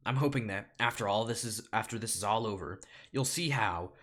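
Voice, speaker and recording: A man's voice: fairly low pitch, a little fast, a bit bright. A man voicing an earnest, indignant little honeybee pleading in court, in an amateur solo script reading. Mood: earnest, impassioned.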